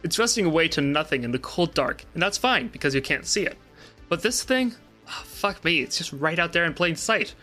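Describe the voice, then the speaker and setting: A man's voice: a little high, expressive, a little fast, pushed and bright, soft background music. A man playing an obsessed, distressed interview subject in a dramatic reading, agitated and repetitive, with swearing. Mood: frantic dread.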